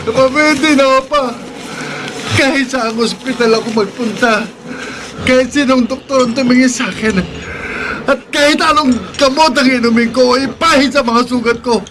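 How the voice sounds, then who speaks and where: A man's voice: very high voice, normal speed, noisy background. A man acting in a horror radio drama, playing a son in panicked anguish and pleading. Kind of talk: 1950s-style Filipino radio drama acting.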